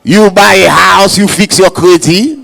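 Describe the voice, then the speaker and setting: Male comic, high-pitched, playful banter with creole asides, gala stage. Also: measured pace, creaky, a bit bright. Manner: playful, mixes in Haitian Creole phrases. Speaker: comedian. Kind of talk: stand-up comedy set. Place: hotel banquet hall at a gala.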